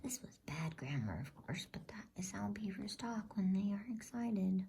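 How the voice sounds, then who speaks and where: A woman reading a bedtime story as ASMR in a soft, unhurried, breathy, intimate whisper. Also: medium pitch, lively intonation, slight background noise.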